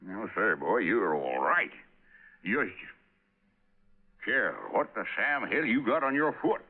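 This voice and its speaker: A man's voice: fairly low pitch, big pitch swings, slow, breathy edge, narrow, tinny audio, exaggerated voice. An actor playing a boisterous, boastful gunslinging Western man with a folksy drawl and rustic slang in an old radio drama. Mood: hearty teasing. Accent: American Western drawl. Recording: band-limited vintage radio recording.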